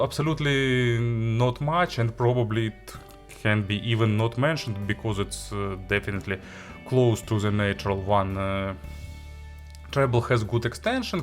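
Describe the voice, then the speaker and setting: A man who is a non-native English speaker narrates a product review as an audio gear reviewer, in halting, hesitant phrasing. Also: on the low side, a bit bright. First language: not English.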